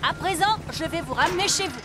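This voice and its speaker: Very high female voice, bouncy melody, cheerful can-do cartoon rabbit pilot. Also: expressive, quick pace, loud music behind the voice. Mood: thoughtful. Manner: eager, can-do. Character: brisk, cheerful lady rabbit helicopter pilot. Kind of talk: French children's cartoon dub.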